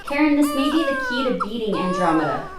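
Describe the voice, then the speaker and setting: High-voiced brisk doctor, played by a woman. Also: wildly swooping pitch. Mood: tense, stern. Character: brisk, commanding doctor in an emergency. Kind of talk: amateur radio play acting. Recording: roomy, distant mic, faint noise.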